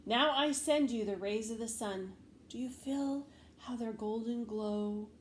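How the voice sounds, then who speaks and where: A woman voicing a calm, dreamy little male field mouse poet in a storybook, speaking slowly and simply. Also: fairly high pitch, reverberant, a little hiss or hum, natural voice. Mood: gently commanding. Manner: slow, deliberate, simple delivery.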